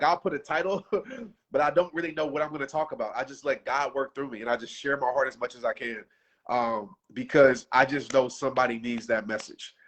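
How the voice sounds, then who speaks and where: A man in his thirties speaks off the cuff to a livestream audience, pushing them hard in casual slang. His pitch sits in an ordinary middle range, and his voice sounds worn from talking so long. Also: fairly bright tone. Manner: passionate, preachy, casual slang. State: losing his voice. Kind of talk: unscripted livestream pep talk.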